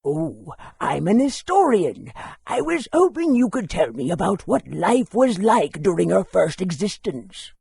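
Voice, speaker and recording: An actor playing a courteous, bookish town historian in a fantasy game, speaking politely and in a measured, scholarly way. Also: male voice, sits high, big pitch swings, creaky, darkish tone, breathy edge, dry recording, full character voice.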